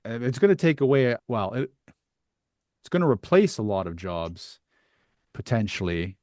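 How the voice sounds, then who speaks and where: A man's voice: medium pitch, sing-song, moderate pace, a little mellow, dry recording. A man hosting an interview podcast, talking conversationally and thinking aloud in a discursive, hedging way.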